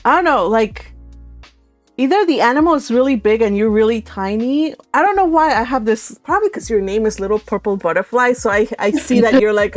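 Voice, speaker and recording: A woman hosts a casual livestream with a chatty, animated delivery. Her voice sits in a middle register and she teases as she goes. Music plays softly behind her. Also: mid-range voice. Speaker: host of a live read-along stream.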